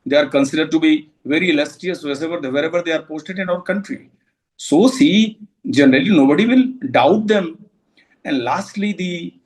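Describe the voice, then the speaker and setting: Higher-voiced Indian man giving halting expert commentary. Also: poor audio. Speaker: security expert, former counter-terrorism officer.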